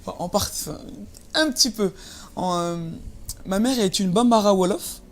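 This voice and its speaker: A woman's voice: medium pitch, animated, moderate pace, slight background noise. A woman of about thirty from Paris, speaking casually as an interview guest, often repeating words as she searches for phrasing. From Paris, France.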